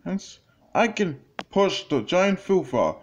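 A man reading a children's story aloud in an animated, exclamatory way, with halting phrasing. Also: sits high. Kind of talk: animated read-along storytelling. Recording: dull lo-fi sound, faint noise, clicks.

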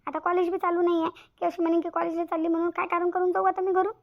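A woman plays a young village bride-to-be in a cartoon, anxious and stubborn. Her high, quick voice frets in a rural Maharashtrian accent, on a dull soundtrack. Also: little pitch movement. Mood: fretful. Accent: rural Maharashtrian Marathi. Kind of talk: cartoon comedy dialogue.

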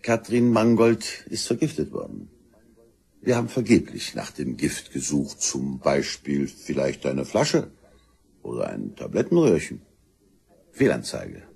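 An actor playing a stern, methodical police inspector in a crime radio play, speaking in a dry, authoritative way. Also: male voice, a bass voice, wildly swooping pitch, a little slow, creaky, darkish tone, husky, heavily acted. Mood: matter-of-fact. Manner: dry, matter-of-fact, authoritative.

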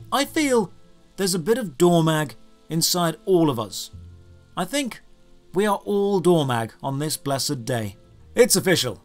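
A man narrating a scripted comedic video game review with sarcastic, exasperated emphasis. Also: sits high. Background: background music.

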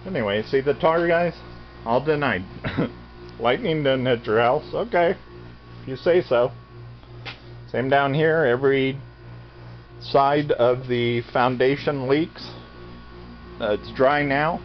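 A man's voice: medium pitch, slow, pauses often, soft background music. An American man in his fifties giving a casual, rambling, matter-of-fact walk-through commentary into a handheld camera. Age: 50s. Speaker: vlogger, farm resident. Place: indoors, cluttered concrete basement. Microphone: handheld camera at arm's length. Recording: dull lo-fi camera audio, loud background sound. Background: loud continuous radio playing.

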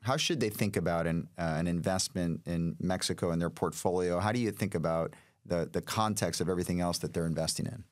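A man's voice: sits low, brisk, few pauses, no echo. A man in his forties hosting a podcast interview, conversational and summing up his guest's points. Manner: conversational, summarizing questions. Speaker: podcast host. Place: small studio room. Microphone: studio mic on stand, headphones. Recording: dry studio sound, faint music.

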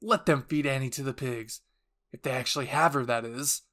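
A man voicing a ruthless, enraged young enemy warrior, yelling cold declarations in an anime fan roleplay. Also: mid-range voice, normal speed, natural voice. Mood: defiant.

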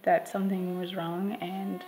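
A woman in her thirties telling a personal story in a quiet, measured way, in a living room. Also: fairly low pitch, level tone, poor audio. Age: 30s. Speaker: grieving sister. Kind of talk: personal testimony.

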